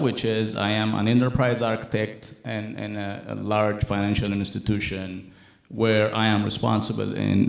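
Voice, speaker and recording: A man's voice: on the low side, vocal fry. A man from Ethiopia introducing himself on a conference panel, jokey and rambling, restarting phrases. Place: conference hall stage. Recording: echoey conference hall.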